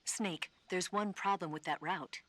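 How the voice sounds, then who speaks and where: A woman's voice: lowish voice, measured pace, fairly bright tone, dry recording. An actress playing a cool, confident female spy giving a briefing in a video game, assured and matter-of-fact. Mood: cool, urgent. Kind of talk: video game radio-call dialogue acting.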